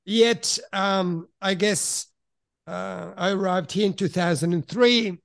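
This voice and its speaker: A middle-aged man from Italy who grew up in Switzerland, with a high voice, recounting his past in a frank, reflective way. He pauses to search for the right words as he talks. The sound is dry and close, with no room echo. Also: creaky, a bit bright. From Italy, raised in Switzerland. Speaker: podcast guest. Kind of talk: candid personal storytelling in conversation.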